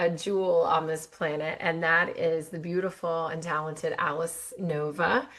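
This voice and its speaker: A woman's voice: on the low side, a bit bright. A woman hosting a podcast, introducing her guest with gushing, enthusiastic praise. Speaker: podcast host.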